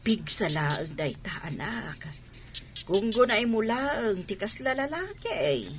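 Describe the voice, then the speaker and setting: A woman's voice: mid-range voice, sing-song, takes it slowly, slight background noise. A woman playing a concerned, reproving mother in a Filipino radio drama. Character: concerned, reproving mother correcting her daughter.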